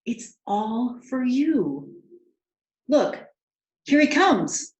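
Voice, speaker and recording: Kindly royal servant for a storybook, read by a woman: urgent, imploring, raised pitch, echoey room. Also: big pitch swings, drawn-out words, a little mellow, exaggerated voice. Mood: excited, exclaiming. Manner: urgent, earnest, exclaiming. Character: kindly, pleading, eager manservant of a king. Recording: roomy, echoing home recording.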